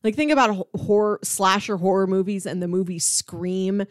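A woman's voice: mid-range voice, steady tone, moderate pace, no echo, studio quality. A woman co-hosting a podcast, chatting casually and enthusiastically about films, with "like" sprinkled through her talk. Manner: enthusiastic, conversational, peppers talk with "like". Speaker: podcast co-host. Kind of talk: casual film discussion chat.

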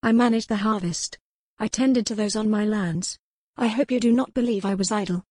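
A woman's voice: medium pitch, steady tone, moderate pace, darkish tone, no room sound, clean studio sound, lightly acted. A woman voicing a proud young English noblewoman who speaks in a spirited, insistent way. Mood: defiant. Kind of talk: audiobook character voice.

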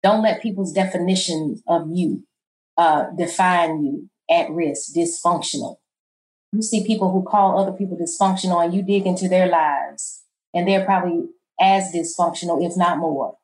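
Soft low-voiced woman, middle-aged, calm but gets emphatic, kinda echoey room. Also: normal speed. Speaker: college president, guest. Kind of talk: interview conversation.

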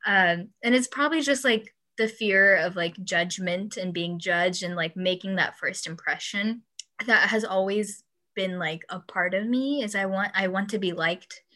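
Young woman chatting away, bright clear voice, laughs a lot, loves quoting people. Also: mid-range voice, a little slow. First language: Urdu. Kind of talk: casual chat.

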